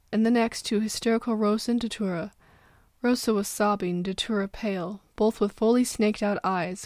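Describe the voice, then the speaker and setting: A woman's voice: mid-range voice, darkish tone, no echo, studio quality. A woman reading a story as dramatic narration, getting over a cold. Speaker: fan fiction narrator.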